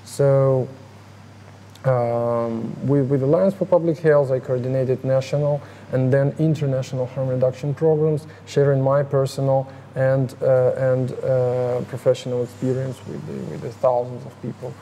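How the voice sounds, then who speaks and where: A man from Ukraine with a Ukrainian accent, answering interview questions in a halting way with many fillers and restarts. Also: mid-range voice, little pitch movement, warm tone, a little hiss or hum, poor audio. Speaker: interviewee, harm reduction advocate.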